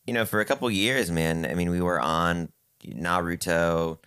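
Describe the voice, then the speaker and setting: A man's voice: low voice, steady tone, normal speed, fairly bright tone, clean tone. A young man with a Chicago accent in casual chat, talking out of the side of his mouth and stretching his syllables.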